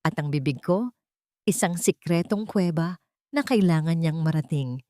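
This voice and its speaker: A woman with a Filipino accent voicing a gentle young nanny who coaxes a child softly, playfully and patiently in an audio drama. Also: low-pitched, lively intonation, normal speed, no echo, clean studio sound. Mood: playful coaxing.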